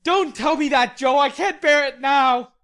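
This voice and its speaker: A woman's voice: high voice, measured pace, voice pushed up. A woman voicing a hot-tempered, heartbroken young man who pleads in vehement outbursts.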